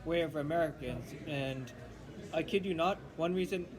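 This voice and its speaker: A young man studying linguistics, chatting casually in a halting way with many restarts and fillers. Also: on the high side, music in the background, rough recording. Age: young adult. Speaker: linguistics student. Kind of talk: casual chat.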